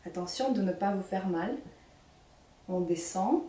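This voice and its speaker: A young woman with a Ukrainian accent leading a children's singing workshop, speaking slowly and clearly as she gives instructions. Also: mid-range voice, warm tone. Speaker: singing teacher. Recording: echoey room, mic at a distance.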